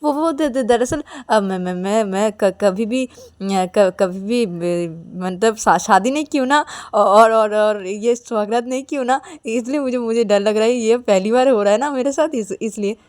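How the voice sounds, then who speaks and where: A timid, panicky young newlywed in an audio story , acted by a female narrator. She stammers heavily on the first sounds of words. Her pleading words tumble out in anxious repeats, pitched fairly high. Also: steady tone, normal speed, darkish tone, dry recording, natural voice. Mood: fearful. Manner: heavy nervous stammer, pleading repetition.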